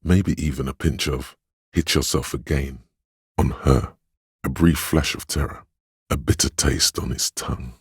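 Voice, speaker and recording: A man voicing a solemn, tender inner voice that reads others' feelings in a video game, measured and brooding in delivery. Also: fairly even intonation, dry recording, clean studio sound, exaggerated voice. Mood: brooding, gentle. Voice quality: deep, husky, gravelly. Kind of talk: scripted video game narration.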